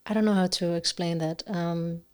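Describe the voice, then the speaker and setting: A woman with a Dutch accent, a singer-songwriter and guest on a podcast, chatting casually and often pausing to search for words. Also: lowish voice, steady tone, darkish tone, clean tone. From Netherlands. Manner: searching for words, many fillers and restarts.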